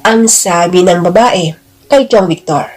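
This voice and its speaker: A woman's voice: fairly low pitch, unhurried, a little mellow. A woman storyteller giving a dramatic first-person narration of a love drama. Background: music.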